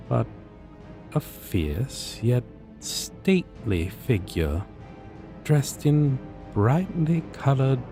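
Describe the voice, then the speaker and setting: A man's voice: on the low side, mellow. A man narrating a scripted second-person story for a roleplay.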